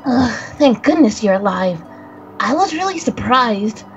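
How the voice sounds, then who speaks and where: A woman's voice: a little high, very animated, unhurried, lo-fi sound, faint music behind. A woman voicing a nervous young boy spirit who stammers and gives himself away. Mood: flustered. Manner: stammering, flustered, self-incriminating. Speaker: guest voice actor on a game stream.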